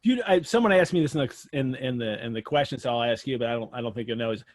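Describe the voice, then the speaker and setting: A man hosting a live online interview over a video call, asking questions in a halting, rambling, casual way. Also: medium pitch, dry recording, clean studio sound. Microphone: video call microphone.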